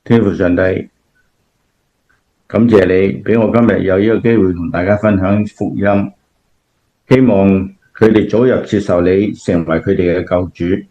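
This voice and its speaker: A man's voice: sits low, normal speed, warm tone, close to an everyday voice. A man with a Cantonese accent playing a kindly, devout grandfather who explains things patiently in a scripted radio drama. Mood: solemn, devout. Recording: dull, lo-fi audio.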